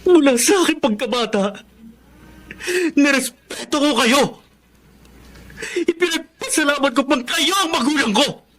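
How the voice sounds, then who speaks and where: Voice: high-pitched, a little slow, long pauses, a bit bright. A performer playing a hurt, angry grown child confronting a parent with reproach, in a radio drama. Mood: hurt, reproachful. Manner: reproachful, intense. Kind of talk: Filipino radio drama acting.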